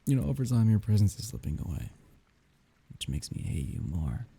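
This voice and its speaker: A man playing a grieving lover saying goodbye at a grave in an intimate ASMR roleplay, speaking softly and haltingly with emotion in a husky, gravelly voice. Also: lowish voice, very animated, heavily acted. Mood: wistful, resigned. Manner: halting, soft, emotional delivery with sighs and whispers.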